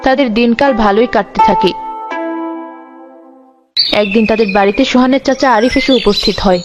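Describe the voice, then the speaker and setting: A woman's voice: fairly high pitch, a little fast, over music. A woman narrator reading a scripted fairy tale as storyteller.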